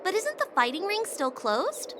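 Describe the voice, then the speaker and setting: A woman voicing a brisk, practical traveling merchant in a game. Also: very high-pitched, sing-song, noisy background. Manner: brisk and matter-of-fact. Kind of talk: game voice acting. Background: game soundtrack music.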